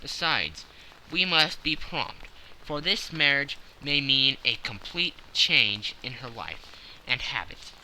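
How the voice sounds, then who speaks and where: A man voicing a confident, analytical gentleman detective who explains things precisely and with self-satisfaction in an audiobook. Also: medium pitch, lively intonation, slow, a little hiss or hum, exaggerated voice, poor audio. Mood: brisk, confident. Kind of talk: audiobook character acting.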